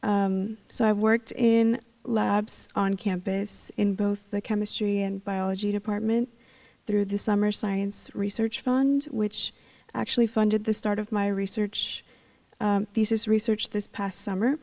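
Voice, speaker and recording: Casting: young woman, student panelist, mellow gravelly voice, even delivery, a bit far from the mic. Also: medium pitch, measured pace. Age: young adult. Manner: steady, frequent "um". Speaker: student peer career advisor. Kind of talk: panel introduction.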